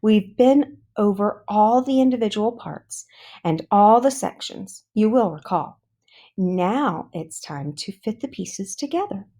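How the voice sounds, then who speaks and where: A woman reading a children's storybook, voicing an encouraging, exacting woman orchestra teacher who gives brisk, bright instructions. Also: medium pitch, lively intonation, pitched above the performer's own voice. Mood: bright, instructive. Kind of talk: children's storybook read-aloud.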